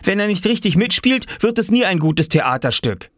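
A bossy little boy from a children's storybook, acted out by a man reader. The voice sits well above an adult man's, lively and swooping. He is earnest and self-important, commanding like a big brother. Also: high voice, brisk, no room sound, studio quality, pitched above the performer's own voice, heavily acted. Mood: indignant.

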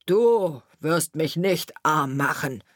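A woman voicing a gruff, self-pitying male wagon driver in a fairy tale, drawing out his words emphatically. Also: deep voice, animated, no echo, clean studio sound, exaggerated voice. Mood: scornful. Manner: drawn-out, emphatic lamenting and scoffing.

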